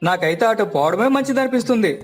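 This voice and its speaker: నటుడు పోషించిన ధైర్యం చెప్పే పల్లె పెద్దమనిషి, హెచ్చు గొంతు. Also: male voice, high voice, animated, quick pace, soft background music. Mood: advising. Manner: reassuring, rustic colloquial advice. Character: practical, helpful elder village family friend. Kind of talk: dramatized moral story.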